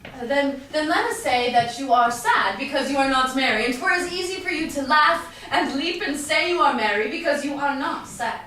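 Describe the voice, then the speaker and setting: Bright, high cheerful gentleman played by a woman. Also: expressive, normal speed, hardly any pauses. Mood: teasing. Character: cheerful, teasing young Venetian gentleman, a friendly companion. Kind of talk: staged reading of Shakespearean verse. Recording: very echoey room, distant mic, faint noise.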